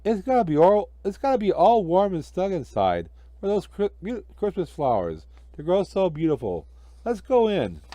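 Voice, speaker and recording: An eager, good-natured storybook snowman, played by a man. The voice rides up and down freely, lifted a little above everyday talk, and is full of jolly exclamations and jokes. The sound is soft-edged and dry. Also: high-pitched, wildly swooping pitch, normal speed, dry recording, voice pushed up. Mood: cheerful, joking. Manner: exclamatory, cheerfully joking.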